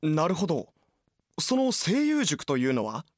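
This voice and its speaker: Guy doing an eager announcer interviewing in a radio commercial, very animated, dry close sound. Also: a little high, unhurried, no echo. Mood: polished, eager. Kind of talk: scripted radio advertisement.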